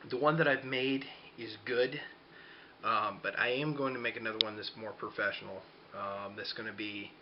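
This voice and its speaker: A man giving an unscripted how-to demonstration, talking in a hesitant, rambling way as he explains. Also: fairly low pitch. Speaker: DIY tutorial presenter. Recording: dull lo-fi home video, background noise.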